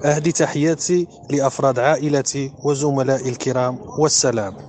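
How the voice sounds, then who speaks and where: A man's voice: medium pitch, steady tone, very fast, a little mellow, a little hiss or hum. A man in his thirties, an Arabic speaker, introducing himself and giving a formal greeting. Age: 30s.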